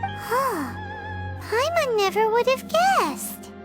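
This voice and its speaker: A woman voicing a tiny, excitable fairy companion in a video game, with a squeaky childlike voice. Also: slow pace, heavily acted. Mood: surprised, curious. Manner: refers to self in third person, exclamatory. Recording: loud background music.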